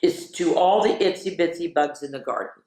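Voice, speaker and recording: A woman reading aloud to children as a storyteller, expressive and playful, with chatty asides. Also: lowish voice, fairly quick. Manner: expressive, playful, drops into whispers for refrains. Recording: roomy, echoey sound.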